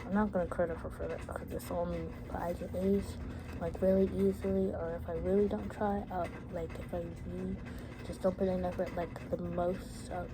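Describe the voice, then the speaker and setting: A teenage woman whose first language is French, chatting casually to her phone camera and rambling with frequent restarts. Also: fairly low pitch. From United States. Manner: rambling, with many restarts and fillers. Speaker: vlogger. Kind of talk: casual chat. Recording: noisy phone recording.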